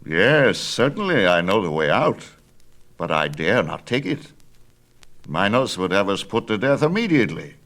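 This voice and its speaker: A man voicing a cautious old craftsman and fond father, declaiming gravely in a dramatic storybook reading. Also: lowish voice, sing-song, normal speed, a bit bright, pitched above the performer's own voice. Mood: grave, resigned.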